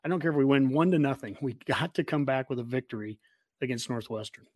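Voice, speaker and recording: A man's voice: mid-range voice, fast talker, darkish tone, no echo, clean studio sound. An American man hosting a casual sports discussion, talking in an emphatic, opinionated way. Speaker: podcast host.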